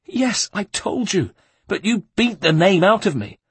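A man voicing a terrified young monk who pleads and sobs in a high, strained voice. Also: animated, moderate pace, breathy edge, dry recording. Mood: pleading, sobbing. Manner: high, wailing and begging.

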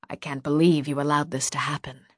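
A woman's voice: lowish voice, brisk, breathy edge, dry recording, studio quality. A woman voicing a stern, commanding older woman with a severe, clipped manner in an audiobook. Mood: disappointed. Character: stern, furious older woman of authority, imperious and scolding. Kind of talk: audiobook character dialogue.

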